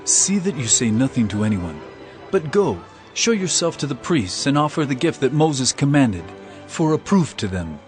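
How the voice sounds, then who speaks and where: Man acting holy teacher, authoritative, compassionate, solemn, very expressive intonation, audio Bible drama. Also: medium pitch, moderate pace, faint music behind, lightly acted. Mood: firm instruction. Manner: solemn, emphatic.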